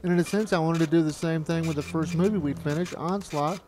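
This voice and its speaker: A man's voice: a little high, fairly even intonation, fast. A man narrating a retrospective about amateur filmmaking in a conversational, storytelling way. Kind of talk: reminiscing documentary narration. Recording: voice-over with music behind. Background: music.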